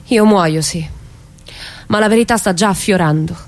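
A woman's voice: mid-range voice, moderate pace, close to an everyday voice. Woman acting a defiant, wronged mother. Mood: solemn, defiant. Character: defiant mother and murdered mafia witness, courageous, bitter. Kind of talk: Italian stage drama for radio.

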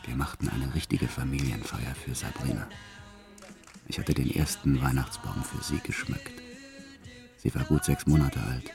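A hardened, violent gangster tells his own story in a radio drama. His voice is extremely deep. He recounts brutal deeds in a measured, matter-of-fact way, with tenderness underneath. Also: sing-song, gravelly, over music, full character voice. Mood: subdued, brooding. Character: violent male career criminal confessing his life, brutal yet tender. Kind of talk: first-person radio play narration.

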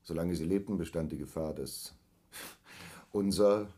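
A hard-bitten schemer in a radio drama, justifying himself in a very deep voice. He phrases deliberately, leaning hard on key words. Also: warm tone. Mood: grimly resolute. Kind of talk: 1980s German radio play acting.

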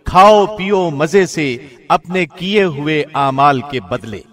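Higher-voiced man solemnly reading scripture. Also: animated, a bit bright. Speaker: radio host reading Quran translation.

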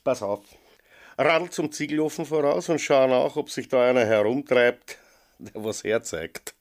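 An actor playing a gruff, commanding village police sergeant who barks orders in a radio drama. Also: male voice, mid-range voice, measured pace, lightly acted. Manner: barking orders, exclamatory.

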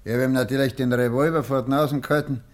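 A man's voice: medium pitch, steady tone, quick pace, natural voice. Radio drama role, male actor: scheming Bavarian tramp and small-time robber, medium register, brash, sardonic. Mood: sardonic boasting. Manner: sly, sardonic. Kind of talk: German radio drama acting.

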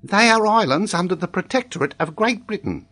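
An earnest young schoolboy voiced by a grown man, polite and proud of his learning. The storybook voice sits very high and sounds deferential, like a pupil reciting a lesson. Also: animated, fairly quick, fairly bright tone. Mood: dutiful, reciting.

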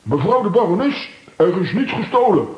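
Eager, high-pitched comic hero. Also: male voice, sing-song, moderate pace, dull audio. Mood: determined. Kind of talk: children's radio play acting.

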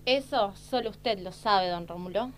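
A woman's voice: medium pitch, a little slow, natural voice. A woman playing an exasperated secretary in a satirical sketch, formal and scolding. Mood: polite, curt. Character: exasperated female secretary of a lecherous boss. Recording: noticeable background noise and hum.

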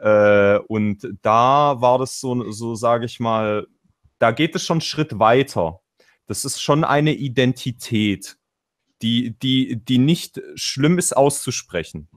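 A man's voice: mid-range voice, takes it slowly. Swabian guy rambling on a video call, cuts himself off a lot, middling voice. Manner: rambling, self-interrupting, acts out quotes. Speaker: panel guest. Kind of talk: casual political chat.

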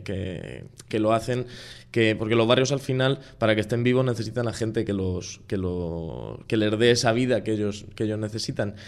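Young man, low and smooth, loose and rambling guest. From Spain, La Mancha. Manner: relaxed, rambling with frequent restarts and fillers. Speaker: musician guest. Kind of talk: casual radio interview.

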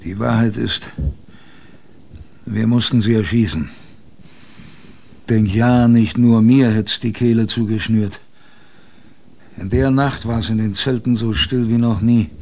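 An actor playing a male polar expedition survivor, narrating his story dramatically in first person, halting and repeating phrases. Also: on the low side, fairly even intonation, a little mellow. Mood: grave.

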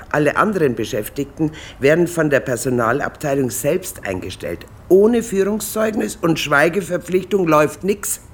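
An actor playing a chatty, streetwise police colleague in a naturalistic radio drama, talking in informal slang. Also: male voice, a little high, breathy edge.

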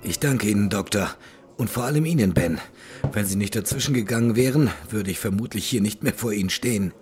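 A man playing a grave, courteous older gentleman haunted by tragedy, speaking formally and with measure in a German audio drama. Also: lowish voice, fairly quick, soft background music, lightly acted. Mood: courteous, composed.

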